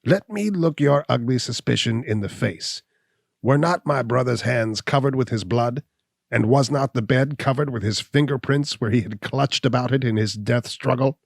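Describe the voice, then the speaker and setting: A bitter, cornered hunchback snarls and sneers, as a male reader puts him on. The voice sits noticeably higher than the reader's own and comes out loud and strained, with a gravelly edge. It is recorded close and dry. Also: normal speed, dry recording. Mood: defiant.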